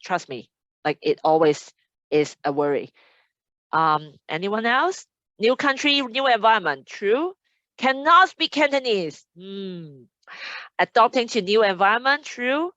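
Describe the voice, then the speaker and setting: A woman's voice: medium pitch, animated, a little slow, fairly bright tone, dry recording, lo-fi sound. A woman hosting an online admissions webinar as a casual chat, upbeat and chatty as she reads out audience comments. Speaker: admissions host. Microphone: video call.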